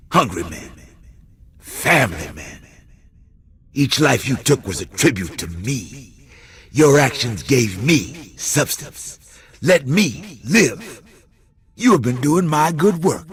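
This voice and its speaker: An actor playing a taunting, cold-blooded killer who styles himself a god in a video game, speaking in a theatrical drawl. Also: male voice, mid-range voice. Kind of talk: dramatic video game villain monologue.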